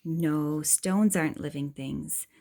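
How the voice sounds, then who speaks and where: A woman reads a children's picture book aloud in a gentle, explanatory way, asking questions and adding asides for young listeners. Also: sits low, slow pace, creaky.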